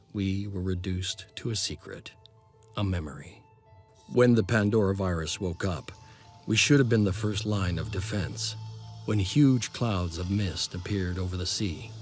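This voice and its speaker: An actor playing a solemn male chronicler, narrating a scripted video game cutscene with deliberate phrasing. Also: low voice. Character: solemn male chronicler of a fallen secret project. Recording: loud music behind the narration. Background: loud music.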